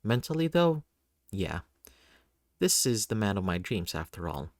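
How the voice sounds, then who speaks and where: A man reading a first-person story aloud in an expressive, playful, theatrical way. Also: on the low side, unhurried, dry recording, studio quality. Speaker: reader of a visual novel.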